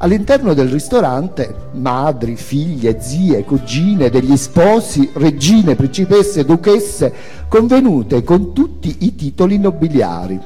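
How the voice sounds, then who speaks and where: Expressive male memoir reader in reverberant hall. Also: fairly high pitch, slow pace, faint music behind. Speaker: author and narrator reading a memoir. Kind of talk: expressive theatrical reading of autobiographical prose.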